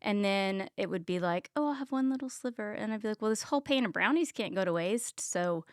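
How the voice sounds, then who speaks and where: Chatty Texan woman, smooth voice. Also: medium pitch, lively intonation, brisk, no room sound, clean studio sound. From Texas. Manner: chatty, many ums, laughs at herself. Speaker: guest, health coach. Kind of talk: casual interview chat.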